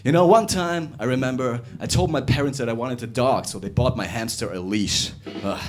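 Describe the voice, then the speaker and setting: A young man from Russia performing a stand-up comedy set in a club, talking animatedly and swinging between quick and drawn-out delivery. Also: mid-range voice. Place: comedy club with live audience.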